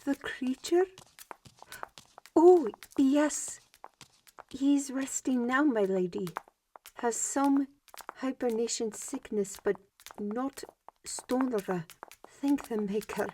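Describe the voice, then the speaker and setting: A woman's voice: high voice, expressive, slow, long pauses, mellow, a little hiss or hum, rough recording. A woman playing a polite, deferential servant in an audio drama, hesitant and respectful in manner. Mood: dutiful, respectful.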